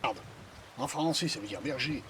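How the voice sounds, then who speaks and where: A man's voice: medium pitch, normal speed, noisy background, voice pushed down, close to an everyday voice. A man voicing a cowardly, boastful swindler in an improvised game roleplay, whining and pleading theatrically. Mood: smug, boastful. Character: shrill, cowardly charlatan, boastful, melodramatic male swindler.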